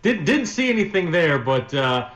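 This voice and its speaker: A man who works as a sports announcer, answering casually as a radio guest in measured, wordy, hesitant phrases. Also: on the high side, sing-song, fairly bright tone. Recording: roomy, echoing sound.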